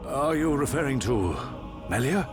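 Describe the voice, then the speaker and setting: An actor playing a measured, decisive older emperor with a regal, deliberate delivery in a formal fantasy game drama. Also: male voice, mid-range voice, big pitch swings. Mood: grave, questioning. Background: loud background music.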